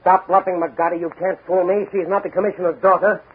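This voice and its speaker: An actor playing a bold, swaggering young crime-fighting hero in theatrical 1940s radio style, on a scratchy, tinny old recording. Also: male voice, high voice, flat delivery, fast, husky. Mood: taunting. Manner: theatrical, brash, declamatory.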